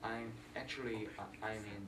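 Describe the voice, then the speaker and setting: A man's voice: fairly low pitch, steady tone, moderate pace, roomy sound, recorded from a distance, heavy background noise. A young man from Korea, a university student speaking hesitant English. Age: 20s.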